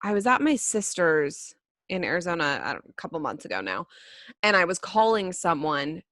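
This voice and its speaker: A woman's voice: big pitch swings, normal speed, fairly bright tone, dry recording, studio quality. A woman co-hosting a casual chat podcast, with a valley-girl way of talking. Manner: valley-girl way of talking, by her own account; swoops up into high put-on voices. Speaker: podcast co-host.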